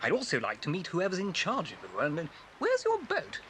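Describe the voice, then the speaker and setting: Bumbling, nervous birdwatcher, fussy and halting, very animated intonation, noisy cassette drama. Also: male voice, high voice. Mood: nervous, polite. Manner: stammering, hesitant. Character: bumbling, nervous male bird-watcher, fussy and easily flustered. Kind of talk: radio-play acting on a story tape. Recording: noisy old cassette transfer.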